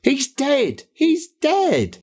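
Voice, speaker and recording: A man's voice: extremely high pitch, wildly swooping pitch, drawn-out words, darkish tone, pitched above the performer's own voice, exaggerated voice. A man with an Australian accent voicing an upset, plaintive young boy in a storybook reading. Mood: distraught. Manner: plaintive, shaken. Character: upset young boy whose dog has died.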